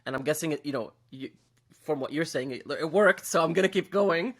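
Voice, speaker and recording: A man's voice: on the high side, a little fast, a crowd in the background. Earnest man from Syria, openly conversational. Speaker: interview guest, comic book writer. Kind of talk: conversational interview answers, personal storytelling.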